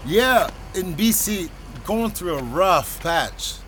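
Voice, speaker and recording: A landowner who hates to ask for favours. He speaks slowly and haltingly, apologetic and indirect, in a naturalistic radio-play style, with clear engine noise outdoors behind him. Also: animated. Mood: hesitant, pleading. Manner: halting, low-key, indirect. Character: hesitant, apologetic male landowner asking for money. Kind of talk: naturalistic audio drama dialogue.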